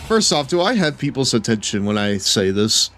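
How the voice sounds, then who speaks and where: A man chatting casually with his chat while playing a wrestling game on stream, laid-back and drawling, and given to sudden excited shouts. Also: medium pitch, normal speed, faint music behind.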